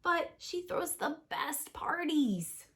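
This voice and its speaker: A woman's voice: sits high, big pitch swings, takes it slowly, a bit bright, pitched above the performer's own voice, exaggerated voice. A woman voicing a whiny, reluctant fairy-tale boy who complains and talks back in a children's storybook. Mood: pleading. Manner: complaining, protesting, exclaiming. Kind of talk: children's storybook read-aloud.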